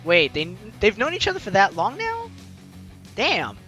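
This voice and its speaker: Male let's play host, high-pitched and very lively, chatty commentary over faint music. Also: a little slow. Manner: animated, chatty, self-interrupting asides. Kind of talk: game commentary mixed with reading dialogue aloud.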